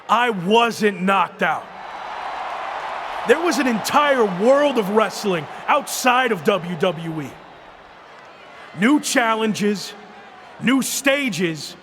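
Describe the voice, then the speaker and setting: Cocky wrestler making a comeback, high bright voice, slow declaiming delivery. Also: male voice, slight background noise, full character voice. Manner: boastful, declaiming, emphatic. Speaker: wrestler giving a ring promo. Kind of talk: game cutscene dialogue.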